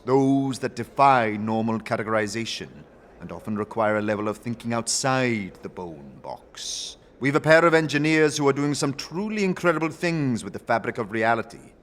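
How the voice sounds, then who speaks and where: A man's voice: medium pitch, sing-song, normal speed, a bit bright, long reverb tail, slight background noise. A man voicing a sturdy, jovial older faction leader in a fantasy audio drama, with grand, expansive, theatrical phrasing. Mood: genial, boastful.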